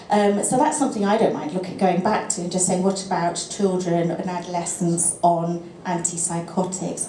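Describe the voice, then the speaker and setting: Mellow-voiced woman in a roomy lecture room. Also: on the low side. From England. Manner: measured, thinks aloud with fillers and restarts. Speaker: speaker at a GP teaching session. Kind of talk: presentation and answering questions. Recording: roomy lecture-room sound, faint noise.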